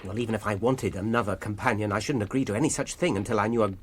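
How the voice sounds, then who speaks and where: An actor playing a nervous, courteous hobbit gentleman in a radio drama, with an English accent and hesitant, polite, formal phrasing. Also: on the low side, rapid-fire, a little hiss or hum, lightly acted. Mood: guarded. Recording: music behind the voice.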